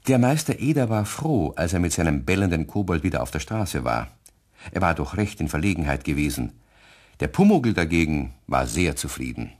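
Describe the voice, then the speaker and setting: A man's voice: low-pitched, very animated, normal speed, darkish tone. A man narrating a scripted children's story as the narrator of a radio play.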